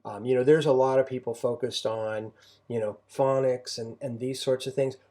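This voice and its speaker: A middle-aged man shares parenting thoughts in a soft-edged, mellow voice. He speaks conversationally and reflectively, circling back and repeating phrases as he finds his way through a thought. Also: medium pitch, steady tone, moderate pace. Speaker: homeschooling parent and co-host.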